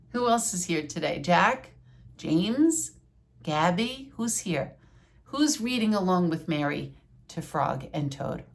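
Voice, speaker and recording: Slow-talking older woman with a creaky voice. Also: medium pitch. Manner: deliberate, teacherly. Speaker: retired schoolteacher reading a children's book aloud. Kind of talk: read-aloud storytelling with asides to children.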